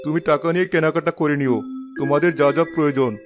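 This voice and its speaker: Guy voicing a boastful cartoon village chairman dad, highish voice, faint music behind. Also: fairly even intonation, fast, natural voice. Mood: self-important. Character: proud, indulgent village chairman, husband and father. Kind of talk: Bengali moral-story cartoon voice acting.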